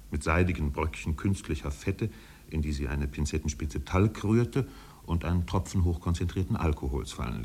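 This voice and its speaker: An actor as the narrator of a 1950s German literary radio play, telling the story in a measured, precise, composed way. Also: male voice, extremely low pitch, darkish tone, slightly rough, full character voice.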